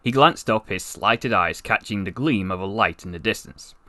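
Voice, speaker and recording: A man narrating a fantasy story in an expressive, theatrical audiobook style. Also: lowish voice, normal speed, dry recording. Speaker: voice actor reading a story for a portfolio.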